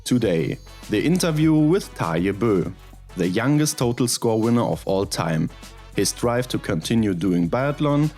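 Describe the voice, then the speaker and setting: A young man co-hosting a sports podcast, agreeable and quick with short affirmations. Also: fairly low pitch, big pitch swings, a little mellow, faint music behind. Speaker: podcast co-host. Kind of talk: casual podcast chat.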